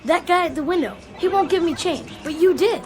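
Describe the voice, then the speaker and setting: A woman's voice: very high-pitched, brisk, a little mellow, soft background music. A woman playing a casual, easygoing kid absorbed in an arcade game in a radio drama, offhand and youthful. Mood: chatty, keen.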